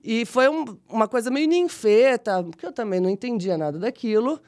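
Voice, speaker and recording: Casting: woman, 40s, São Paulo Brazilian accent, lively self-mocking guest storyteller. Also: a little high, fairly quick. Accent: São Paulo Brazilian Portuguese. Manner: chatty, animated, self-deprecating, frequent restarts. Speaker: guest, model and actress. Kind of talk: casual interview storytelling.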